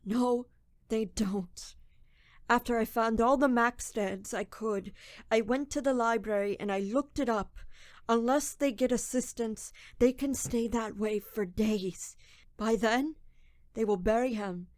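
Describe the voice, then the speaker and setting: Slow, guilt-ridden young thief played by a woman. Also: fairly high pitch, a little mellow, no echo, studio quality, close to an everyday voice. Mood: anguished. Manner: brooding, dramatic first-person confession. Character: guilt-ridden young man, desperate petty thief narrating. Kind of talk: radio drama acting with narration.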